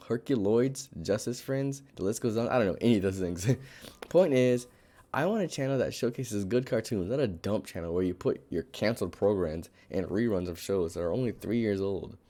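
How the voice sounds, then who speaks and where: A hoarse, raspy-voiced young man casually reading Reddit posts on a live stream, rambling through asides with lots of fillers. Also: lowish voice, mellow.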